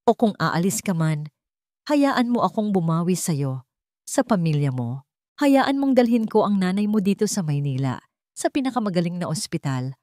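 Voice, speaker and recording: A woman's voice: on the low side, big pitch swings, moderate pace, dark tone, no room sound, studio quality. Woman voicing a desperate, remorseful rich man pleading. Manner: earnest, pleading. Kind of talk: dramatic audio storybook.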